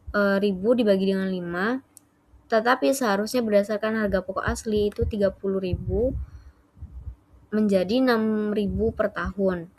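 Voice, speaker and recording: A young adult woman gives an explanatory class presentation as a student, halting and correcting herself as she goes. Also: medium pitch. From Indonesia.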